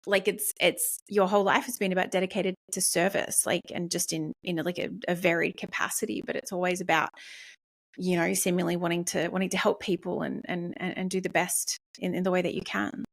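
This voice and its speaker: A woman's voice: lowish voice, little pitch movement, a little fast, creaky. A woman from Australia hosting a podcast interview, asking questions in a loose, searching way with restarts and fillers. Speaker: podcast host. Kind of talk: casual conversational interview.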